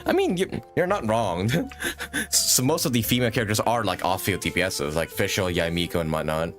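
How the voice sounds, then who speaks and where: A man's voice: lowish voice, lively intonation, normal speed, soft background music. A man hosting a livestream with casual, rambling gaming commentary and frequent chatty asides. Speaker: livestream host.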